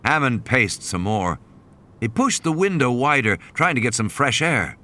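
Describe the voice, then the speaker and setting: Bright-voiced man narrating a dramatic audiobook. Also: mid-range voice, sing-song, moderate pace. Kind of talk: scripted dramatic novel narration. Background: music and sound effects.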